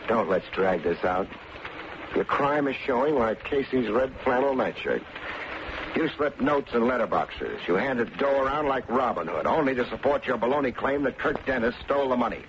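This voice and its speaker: Actor as a wisecracking private eye, muffled noisy radio. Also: male voice, mid-range voice, very animated, normal speed, close to an everyday voice. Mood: confident, accusing. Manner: wry, slangy, clipped delivery. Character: wisecracking, hard-boiled male private detective, cocky and shrewd. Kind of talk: old-time radio detective drama acting and narration.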